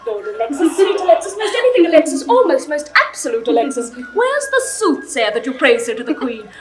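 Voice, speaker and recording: A bawdy young lady-in-waiting, teasing and giggling as she speaks verse. Her voice is high and very lively in pitch. Music sits behind this vintage recording. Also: brisk. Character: playful, bawdy young female attendant. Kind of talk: 1960s classical stage audio drama.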